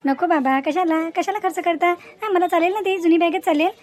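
A woman's voice: extremely high pitch, steady tone, fairly quick, darkish tone. A woman voicing a selfless, sensible young daughter of a poor family in a moral-story cartoon, earnest and pleading, with a Varhadi Marathi accent. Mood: gentle pleading.

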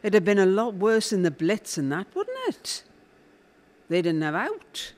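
A woman's voice: mid-range voice, sing-song, moderate pace, a little mellow, natural voice. A middle-aged woman with a Northern English accent playing a chatty, teasing, fretful mum in a live audio drama. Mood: chatty, earnest. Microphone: handheld-style mic on a stand.

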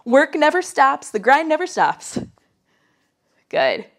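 A woman's voice: high-pitched, big pitch swings, slow. Nasal woman coaching a pilates workout. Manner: chatty, encouraging, counting down while exercising. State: getting over a cold, slightly breathless from exercise. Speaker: pilates instructor. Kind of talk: guided workout instruction. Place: small home studio, indoors.

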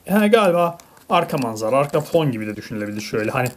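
A woman's voice: rumbling low, big pitch swings, very fast. A woman chatting casually with a child and viewers as a parent hosting a toy unboxing, playfully exasperated. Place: indoors at a table. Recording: clearly noisy home recording with clicks.

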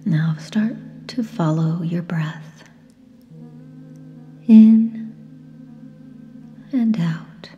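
A woman with a soft, breathy, whispery voice leads a guided meditation in short, gentle phrases with long pauses. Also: lowish voice, highs cut off. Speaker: yoga nidra guide. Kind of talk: guided meditation, slow and soothing. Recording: long reverb, soft music behind. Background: sound bath music.

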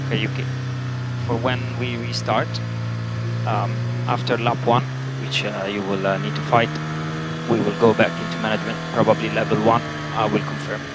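A man speaking as a race engineer over team radio, giving terse, clipped, businesslike instructions to a driver. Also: medium pitch. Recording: very noisy motor racing radio. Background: car engine noise.

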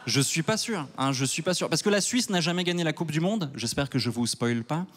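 A man's voice: a little high, animated, fairly quick, background noise. Wry Swiss man doing stand-up. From Switzerland. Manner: wry and self-mocking, rising to loud mock outrage. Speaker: stand-up comedian. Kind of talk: stand-up comedy set. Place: large theater stage before a live audience. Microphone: handheld mic.

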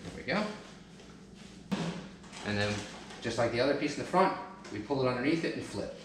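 A man's voice: mid-range voice, expressive, fairly quick. A man in his thirties giving practical step-by-step how-to instructions while working on the floor of a large family room at home, recorded on a camera mic from a distance. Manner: practical step-by-step, talks while kneeling and lifting. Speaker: DIY home channel host. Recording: echoey room, some noise.

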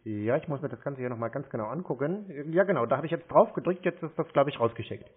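A man's voice: medium pitch, animated, fast, husky. A man baiting a phone scammer, sarcastic and mocking. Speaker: scam baiter. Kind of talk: prank phone call. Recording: tinny phone line.

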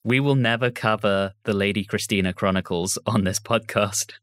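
A man co-hosts a podcast in a conversational reviewing style. His voice sits on the low side. The recording is dry and free of room sound. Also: moderate pace, no echo. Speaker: podcast co-host. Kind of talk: casual discussion and review.

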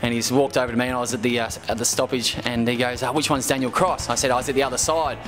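A young Australian footballer tells a relaxed story into a handheld interview mic, with chuckling asides. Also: male voice, mid-range voice, very fast, soft background music. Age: young adult. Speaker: footballer guest. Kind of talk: casual anecdote.

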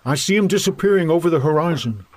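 A man's voice: on the high side, expressive, fast, darkish tone. A man riffing jokes as a comedy commentator, wisecracking in a mock-earnest way. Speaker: comedy host. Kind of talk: joking riff commentary.

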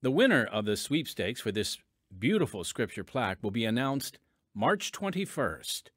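A man's voice: medium pitch, sing-song, normal speed, a bit bright, dry recording, clean studio sound. A man hosting a podcast, reading scripted promotional narration and addressing listeners in a folksy way. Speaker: podcast host and announcer.

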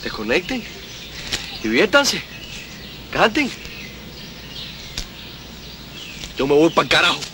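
A man's voice: on the high side, big pitch swings, measured pace. An actor playing an angry, jealous young man with a Cuban accent, brusque and slangy, in film dialogue. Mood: fed up. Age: young adult. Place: outdoors in a wooded park. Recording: old film, noisy outdoor birdsong ambience.

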